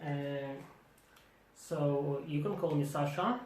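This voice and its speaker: A man whose first language is Russian, explaining things calmly and matter-of-factly as co-host of a couple's vlog. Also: fairly high pitch, slow pace, reverberant, a little hiss or hum, rough recording. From Israel. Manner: calm, explanatory, matter-of-fact. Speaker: vlogger, co-host of a couple's channel. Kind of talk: casual conversational vlog.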